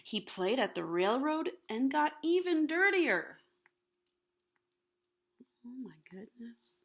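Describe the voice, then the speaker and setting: A woman reading a children's picture book aloud expressively, animated and slipping in hushed asides to the listeners. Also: sits high, slow pace. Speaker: storybook reader.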